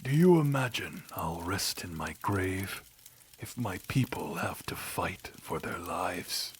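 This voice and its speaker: A man voicing an aging, weary, solemn king in an audio drama, husky and breathy, dropping to a half-whisper as phrases end. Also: low-pitched, lively intonation, a little hiss or hum. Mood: weary, earnest. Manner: slow, grave, deliberate. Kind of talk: audio drama character acting.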